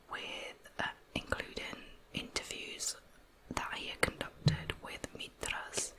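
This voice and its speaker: A woman narrating a true crime case as ASMR in a breathy, unvoiced whisper, with soft, careful delivery. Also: low-quality recording. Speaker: ASMR true crime storyteller, channel host.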